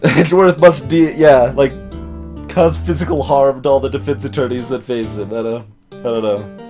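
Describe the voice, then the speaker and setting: A man's voice: mid-range voice, fairly even intonation, normal speed, darkish tone, soft background music. A man giving casual let's play commentary, rambling and musing to himself as he goes.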